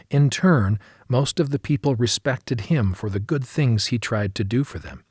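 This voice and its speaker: A male narrator reads scripted memoir prose at a mid-range pitch. His voice has a husky grain, and his delivery is measured and earnest. The sound is dry and close. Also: a little mellow, dry recording. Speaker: audiobook narrator.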